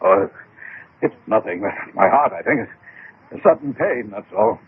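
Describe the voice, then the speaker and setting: A man's voice: medium pitch, sing-song, moderate pace, creaky, a little hiss or hum. An actor playing an earnest, trusting middle-aged American businessman in a radio drama. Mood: pained, gasping. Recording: dull, band-limited old radio broadcast.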